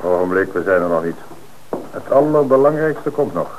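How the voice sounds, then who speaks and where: An actor playing an authoritative police commissioner in an old radio play. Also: male voice, sits low, lively intonation, normal speed, narrow, tinny audio, a little hiss or hum. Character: middle-aged male police commissioner in charge.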